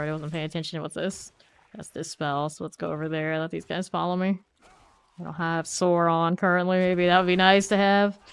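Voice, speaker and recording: A woman's voice: fairly low pitch, clean tone. Congested woman thinking aloud while gaming. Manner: thinks aloud, chatty and self-questioning. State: congested and sniffly from a cold. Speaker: streamer. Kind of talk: casual gameplay commentary.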